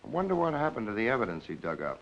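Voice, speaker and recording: An actor in a 1940s crime film playing a shrewd, controlled police lieutenant with a terse, probing, dry manner. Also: male voice, fairly low pitch, big pitch swings, very fast, vocal fry. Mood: cool accusing. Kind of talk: 1940s film noir acting. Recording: dull 1940s film soundtrack.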